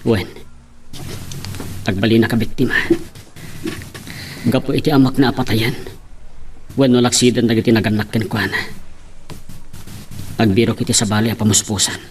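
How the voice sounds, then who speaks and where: A man's voice: lowish voice, fairly even intonation, moderate pace, long pauses, slight background noise, lightly acted. A man playing a troubled young husband with an Ilocano accent, confiding earnestly in a drama. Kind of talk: Ilocano radio-style drama acting.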